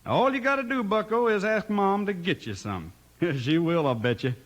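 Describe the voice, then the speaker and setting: A man with an American accent giving a hearty, folksy cowboy-style sales pitch as a 1950s radio announcer. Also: expressive, normal speed. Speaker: announcer delivering sponsor advert. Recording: old radio broadcast recording.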